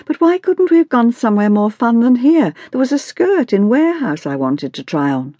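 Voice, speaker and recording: Woman reader doing a bored 14 yr old girl, storybook dialogue, mocking and whiny, voice pushed up high, dry sound. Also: very animated, moderate pace, mellow, no echo. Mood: sulky groaning. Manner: whiny, sarcastic teenage complaining. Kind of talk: acted audiobook dialogue.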